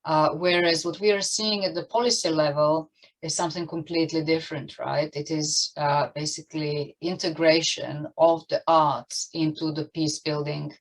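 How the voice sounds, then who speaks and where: A woman moderating an online panel discussion, with hesitant, halting phrasing as she frames questions. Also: deep voice, fairly even intonation, low-quality recording.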